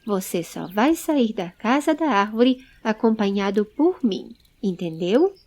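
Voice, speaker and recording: Uma mulher interpretando uma senhora calma e cuidadosa, que fala sem alarde e tranquiliza, numa história em áudio. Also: female voice, medium pitch, measured pace. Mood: tranquilizadora. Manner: unalarmed, reassuring. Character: calm, caring lady of the treehouse.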